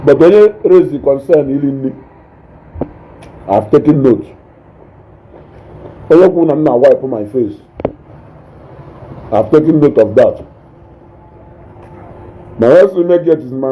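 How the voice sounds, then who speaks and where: A man with a Nigerian accent and a naturally loud voice, hosting a casual live stream, reading viewer comments and mixing English with Igbo while he eats. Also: medium pitch, measured pace, long pauses, dull audio, a little hiss or hum. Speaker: online show host reading viewer comments.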